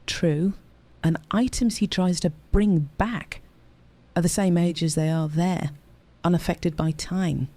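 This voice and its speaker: Audio drama role: analytical, earnest male investigator, soft dark timbre, methodical delivery. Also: high-pitched, fairly even intonation, takes it slowly. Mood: serious. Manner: methodical, explanatory.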